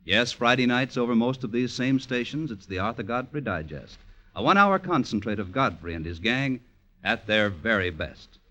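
A man's voice: medium pitch, animated, normal speed, sharp tone. An American man reading scripted announcements as a 1950s radio announcer, polished and emphatic.

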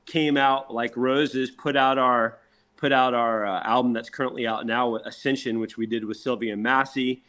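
A man's voice: mid-range voice, steady tone, normal speed, fairly bright tone. A man talking casually in an interview as a rock band member, telling things in an emphatic, boastful, salesmanlike way.

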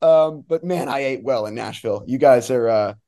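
A man's voice: medium pitch, moderate pace, dark tone. A man giving casual, opinionated sports analysis as an interview guest, in an informal conversational way. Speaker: guest, soccer play-by-play announcer.

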